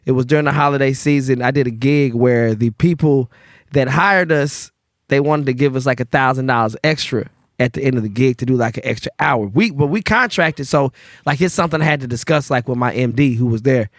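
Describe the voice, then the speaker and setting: A man's voice: mid-range voice, brisk, no echo, clean studio sound. A man, telling his life story in a loose, slangy podcast chat. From Memphis, Tennessee. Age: 30s. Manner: laid-back slangy storytelling with fillers. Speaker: guest bartender.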